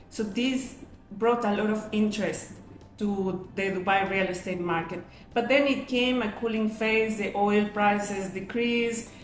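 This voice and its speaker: A middle-aged woman hosts an instructional webinar in a medium-pitched voice. She explains step by step and often poses questions that she answers herself. The room is echoey, with a faint layer of noise behind her. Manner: asks and answers own rhetorical questions. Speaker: webinar host and real estate agent.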